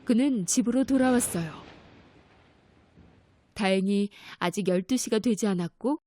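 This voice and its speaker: A woman narrating a children's fairy tale in a gentle, clear storytelling manner. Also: medium pitch, measured pace, slight background noise. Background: music.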